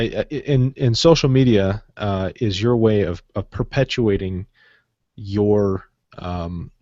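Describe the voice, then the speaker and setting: A man hosting a podcast interview, thinking aloud in halting, restarting phrases. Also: lowish voice, no room sound, highs cut off. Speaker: podcast host. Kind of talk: interview chat.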